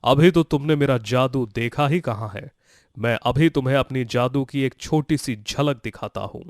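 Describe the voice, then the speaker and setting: A man's voice: medium pitch, moderate pace, dry recording, studio quality, lightly acted. A man voicing a boastful, kindly magical talking sugarcane. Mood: proud, showy. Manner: confident, boastful, reassuring. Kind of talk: dramatized Hindi moral story for children.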